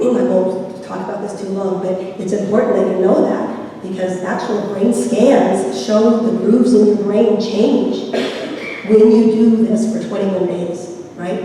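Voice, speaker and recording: A woman minister with a slightly raspy voice gives an informal, rambling, folksy sermon, inviting answers from listeners. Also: mid-range voice, mellow. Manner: rambling, folksy, seeks audience responses. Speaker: minister leading a Sunday service. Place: large reverberant room at a service. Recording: echoey hall, distant mic, faint noise.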